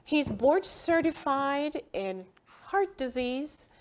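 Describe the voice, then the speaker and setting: A woman's voice: sits high, very animated, slow pace. A woman doctor hosting a TV health show in a studio, speaking emphatically and asking the viewer questions.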